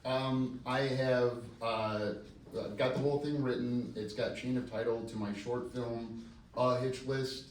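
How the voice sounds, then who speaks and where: A man's voice: mid-range voice, fairly even intonation, unhurried. Echoey, distant man fielding audience questions. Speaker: screenwriter taking audience questions. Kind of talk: casual live question-and-answer at a script reading. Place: live event room before an audience. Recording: echoey room, distant mic, faint noise.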